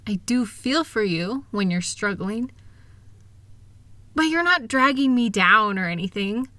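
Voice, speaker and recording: Casting: female actor for a tender, reassuring wife in a comfort audio roleplay; soothing, slow delivery, very dry intimate sound. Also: on the high side, lively intonation, no echo. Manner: gentle, soothing, affectionate. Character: loving, reassuring wife comforting her spouse.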